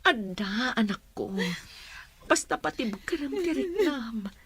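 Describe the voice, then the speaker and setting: A woman's voice: high-pitched, sing-song, unhurried, a bit bright, breathy edge, exaggerated voice. Woman playing a worried middle-aged mother. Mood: anxious. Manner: anxious, pleading.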